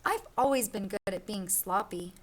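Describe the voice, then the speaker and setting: A woman's voice: mid-range voice, lively intonation, moderate pace, a little hiss or hum, natural voice. A woman voicing a sloppy, cheerful young boy who narrates his own storybook, read expressively. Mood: proud, unbothered.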